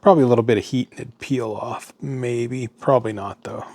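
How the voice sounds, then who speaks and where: A man's voice: medium pitch, brisk, a little mellow, no room sound. An American man giving casual, unscripted commentary as he works at a bench, muttering to himself in short, trailing remarks. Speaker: host of an electronics teardown video. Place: indoors at a workbench. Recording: clearly noisy home recording, sometimes off-mic.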